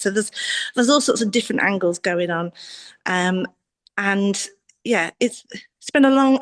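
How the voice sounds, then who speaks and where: A woman giving unscripted interview answers as an arts project representative, halting as she thinks aloud. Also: mid-range voice. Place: exhibition space, live video call.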